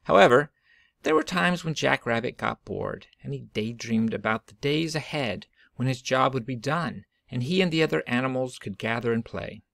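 Creaky-voiced man reading a storybook aloud. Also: mid-range voice, animated, normal speed, fairly bright tone, dry recording. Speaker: storybook reader. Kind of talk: expressive read-aloud of a children's picture book.

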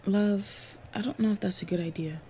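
Mellow, devoted orc warrior girlfriend, played by a woman. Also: medium pitch, normal speed, natural voice. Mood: worried, hesitant. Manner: soft, earnest, intimate, often breathy. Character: devoted, protective female orc warrior lover. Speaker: roleplay audio voice actor. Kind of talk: intimate roleplay audio acting. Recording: clear background ambient noise. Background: ambience sound effects.

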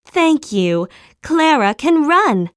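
A woman playing a gentle, caring mother in scripted dialogue for an English-lesson cartoon. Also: high voice, very animated, slow, no echo, exaggerated voice. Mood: pleased.

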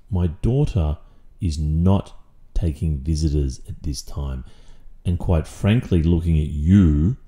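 Man acting a very dark-voiced Australian stern father. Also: extremely low pitch. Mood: cold, threatening. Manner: deliberate, condescending, drawn-out pauses. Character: stern, protective, possessive father with a menacing calm. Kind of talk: intimate audio roleplay, slow dramatic monologue.

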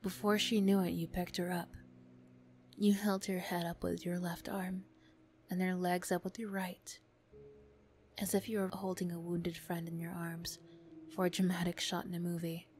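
A woman narrating a story in a soft, hushed, breathy ASMR style with measured phrasing. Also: lowish voice, level tone, a little hiss or hum. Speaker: narrator and voice performer.

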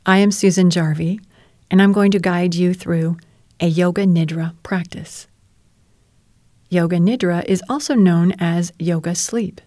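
A woman leading a guided relaxation as a meditation teacher, speaking in a calm, soothing and measured way. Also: fairly low pitch, no room sound, studio quality. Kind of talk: guided relaxation narration, yoga nidra.

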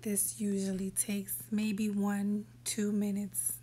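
A woman hosting a screen-recorded app tutorial, giving relaxed step-by-step instructions that sometimes drop to a whisper. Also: mid-range voice, slow, husky. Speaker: tutorial host. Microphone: voice-over for a screen recording.

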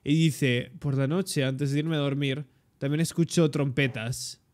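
A young man with a Peninsular Spanish accent giving excitable reaction commentary and translating aloud as he goes. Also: medium pitch, a little slow, a little hiss or hum. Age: young adult. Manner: excitable, interjecting. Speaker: reaction video host. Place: indoor room, webcam reaction setup. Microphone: desktop streaming microphone.

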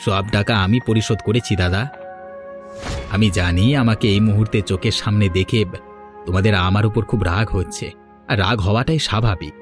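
Guy playing a sorry but determined rich brother in a cartoon, deeper earnest voice, guitar music behind. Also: brisk, natural voice. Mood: remorseful. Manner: solemn, earnest. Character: remorseful, determined prosperous younger brother. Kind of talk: cartoon moral story voice acting.

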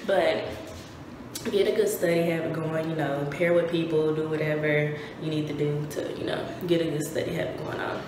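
A young woman in her twenties chatting casually in a vlog from her dorm room, her voice hoarse, filmed on a phone held at arm's length. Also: low-pitched, flat delivery, normal speed. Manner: chatty, rambling, everyday. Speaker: vlogger. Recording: noisy phone recording.

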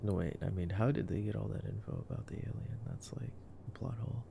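A young man doing casual boyfriend-roleplay movie commentary for ASMR, in a soft, drowsy murmur with slangy phrasing. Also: on the low side, fast talker, vocal fry, slight background noise. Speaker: ASMR voice performer.

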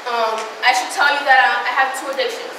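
A young woman from the Dominican Republic, a native Spanish speaker, talks to a crowd in a loose, chatty way. Her voice sits a little high. It carries from a distance through a very echoey hall with steady noise behind it. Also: brisk. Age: young adult. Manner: casual, chatty, with frequent fillers and laughs. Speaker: student speaker. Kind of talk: motivational talk. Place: lecture hall before a live audience. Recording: very echoey hall, mic far off, noisy.

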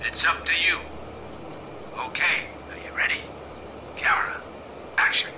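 An actor playing a sadistic snuff-film director in a video game, coaxing and menacing in a theatrical way. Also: male voice, fairly high pitch, a little slow, background noise, close to an everyday voice. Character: sadistic male snuff-film director ordering a captive around.

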